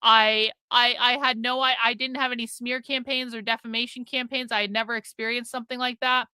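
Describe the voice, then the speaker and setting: A woman with a fairly high, very bright voice talks casually to her livestream chat. She is blunt and swears freely, circling back on her phrases for emphasis. The sound is crisp, clear and dry. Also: level tone, fairly quick, no room sound. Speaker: livestream host.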